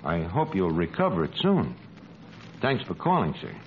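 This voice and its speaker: An actor playing a slangy, exasperated, wisecracking tavern manager in a 1940s radio comedy. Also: male voice, sits low, huge pitch swings, normal speed. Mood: flustered, falsely polite. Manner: slangy, ungrammatical, sardonic. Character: uneducated, wisecracking, exasperated barman running a tavern. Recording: dull, noisy old radio recording, studio audience.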